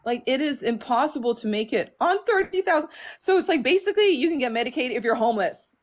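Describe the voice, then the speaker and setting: A woman's voice: high-pitched, lively intonation, fast talker. A woman in her thirties who grew up in Denmark, calling into a live stream and ranting with emphatic, fed-up bursts. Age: 30s. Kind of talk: impassioned rant.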